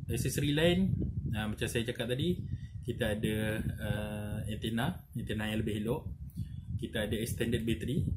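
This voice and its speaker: A Malaysian man talks through a product in a casual tutorial style, at an average male pitch. He explains haltingly, repeating himself and adding small tags like a seller showing a customer. The recording is clearly noisy, with some clicking. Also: darkish tone. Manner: halting, repetitive explaining with frequent "lah" and "eh" tags. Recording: noisy, with audible background noise and clicks.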